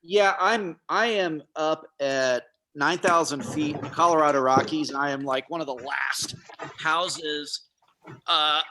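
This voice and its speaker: A man's voice: fairly high pitch, measured pace, bright, forceful tone. A man chatting casually and agreeably over an internet video call, sounding accommodating. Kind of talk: casual chat. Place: mountain house on a video call. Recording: slightly noisy, clicking internet call.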